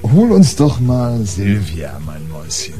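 A man's voice: on the low side, huge pitch swings, unhurried, over music. An actor playing a laid-back, familiar plainclothes policeman, the inspector's sidekick, in a radio play. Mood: casual, teasing.

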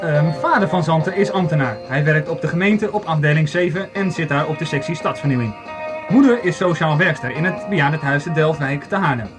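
Higher-voiced male narrator with music. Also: brisk. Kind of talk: amateur radio play storytelling narration.

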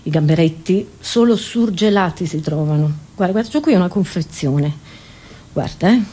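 A woman's voice: lowish voice, expressive, normal speed, warm tone, husky. A woman comedian performing a satirical, conversational monologue in a theatrical way, often interrupting herself with rhetorical questions.

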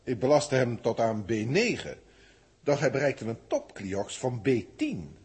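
An actor playing a detached alien senior proctor who reports on human test subjects in a formal, measured way, in an early 1960s radio drama. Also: male voice, mid-range voice, huge pitch swings, a little mellow, slightly rough, heavily acted. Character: cold alien overseer testing humans, middle-aged man.

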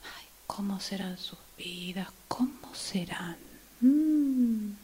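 A woman's voice: medium pitch, expressive, takes it slowly, mellow, breathy edge, heavily acted. A woman acting in amateur radio theatre as an exasperated, restless commuter waiting for a train. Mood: musing, sighing.

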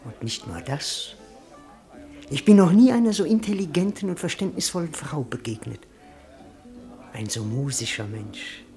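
A man's voice: a little high, very animated, moderate pace, long pauses, faint music behind. A gushing, lovestruck young man. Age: young adult. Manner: effusive and gushing, lapses into hushed tones. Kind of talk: radio play acting.

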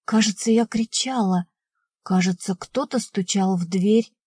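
A woman's voice: mid-range voice, unhurried, warm tone, breathy edge, no room sound, studio quality. A woman narrating first-person fiction as a scripted audiobook.

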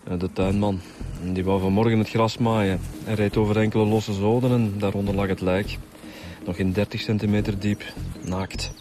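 Radio drama: male actor as methodical Flemish investigator, matter-of-fact, explanatory, lowish pitch. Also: little pitch movement, normal speed, background noise, close to an everyday voice. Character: earnest, methodical male homicide detective.

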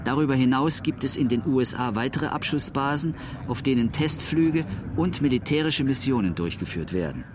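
Male documentary narrator, medium pitch, scripted factual read, clear ambient noise behind. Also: lively intonation, moderate pace.

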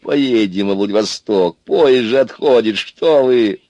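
A man voicing a naive, folksy peacemaker in a comic story, coaxing in simple drawn-out phrases. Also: on the low side, mellow, no echo, dull audio, pitched above the performer's own voice, natural voice. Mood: urgent.

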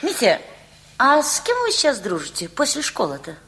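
A woman's voice: on the high side, huge pitch swings, moderate pace, a bit bright, a little hiss or hum, full character voice. A woman playing an anxious, prying middle-aged mother in a Russian radio play, coaxing and reproachful. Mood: probing, coaxing. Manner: coaxing, reproachful, insistent questioning.

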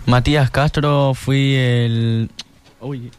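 A man's voice: medium pitch, fairly even intonation, extremely slow, darkish tone. A teenage boy with an Argentine accent answering casually as a student guest in a radio interview, full of verbal fillers. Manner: informal, filler-heavy.

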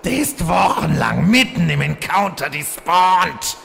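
An actor playing an irritable, easily provoked demon lord in a parody fantasy audio drama, gruff, indignant and defensive. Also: male voice, a little high, animated, measured pace, fairly bright tone, exaggerated voice. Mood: flustered, angry. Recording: reverberant hall, faint noise.

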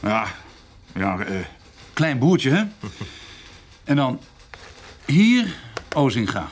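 An actor playing a self-assured village notary in a Dutch radio play, explaining things in a measured way. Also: male voice, medium pitch, very animated, a little hiss or hum, heavily acted. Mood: assured, matter-of-fact.

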